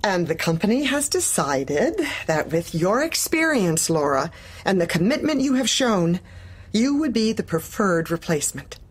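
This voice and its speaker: Icy, officious husky-voiced corporate manager. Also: female voice, medium pitch, very animated, normal speed. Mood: formal, measured. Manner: clipped, formal, coolly officious. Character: icy, formal, ruthlessly polite female corporate manager. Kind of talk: satirical audio drama acting.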